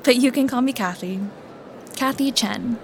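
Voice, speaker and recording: An actress playing a friendly, meticulous coin appraiser in an audio drama, speaking in a helpful, precise, conversational way. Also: female voice, a little high, normal speed, a little hiss or hum, lightly acted. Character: friendly, meticulous woman appraising coins at a show booth.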